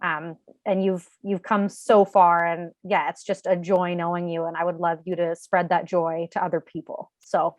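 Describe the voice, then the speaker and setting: A woman's voice: lowish voice, flat delivery, normal speed, few pauses, a little mellow, dry recording. A woman from a support group giving a fluent, earnest tribute on a video call. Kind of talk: heartfelt tribute.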